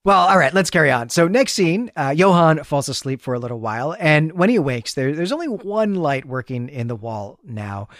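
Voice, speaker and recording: A man with a fairly high-pitched voice talks as a podcast co-host, recorded very dry with no room sound. He walks through a story conversationally, explaining details and dropping in chatty, enthusiastic asides. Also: lively intonation, normal speed, few pauses, a bit bright, dry recording. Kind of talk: conversational story recap and commentary.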